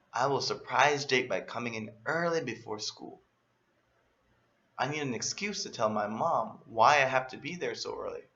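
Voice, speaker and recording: Male storyteller, bright forceful tone, expressive children's read-aloud, slightly dull lo-fi recording. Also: medium pitch. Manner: expressive, acts out emphasis and exclamations. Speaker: storyteller reading a children's book.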